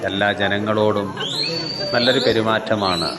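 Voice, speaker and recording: A man narrating a video for viewers in deliberate, halting phrases. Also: on the low side, steady tone. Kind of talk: explanatory voice-over narration addressed to viewers. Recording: loud crowd and outdoor noise behind.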